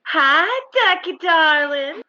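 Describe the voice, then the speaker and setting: Casting: syrupy, coquettish old flame for radio comedy, very high-pitched, swooping, pouty. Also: female voice, expressive, slow pace, lo-fi sound, background noise, full character voice. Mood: pouting, pleading. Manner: cooing, pouting. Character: gushing, clingy, coquettish old-flame girlfriend.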